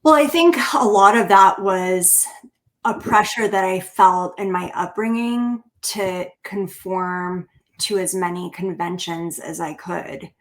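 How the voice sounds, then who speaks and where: A woman with an American accent, answering interview questions in a thoughtful, measured way and choosing her words carefully. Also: fairly low pitch. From Bulgaria, grew up in the United States. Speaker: interview guest, writer and therapist. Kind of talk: reflective interview conversation.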